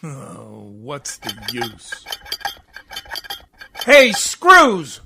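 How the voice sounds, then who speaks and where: A man's voice: sits high, wildly swooping pitch, very slow, very noisy, heavily acted. A man playing an exasperated, sarcastic jailed husband in a 1940s-style radio comedy, grumbling and sardonic. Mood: resigned irritation.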